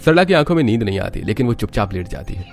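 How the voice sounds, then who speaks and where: Fast, low-pitched male story narrator. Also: very animated, a little mellow, soft background music. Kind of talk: scripted dramatic narration of a Hindi family cartoon story.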